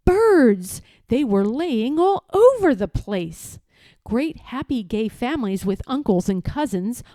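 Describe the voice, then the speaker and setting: A woman reading a children's story aloud in a theatrical, rhythmic way, as expressive storytelling. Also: fairly high pitch, dry recording. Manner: theatrical, rhythmic rhyming delivery. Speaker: storybook reader.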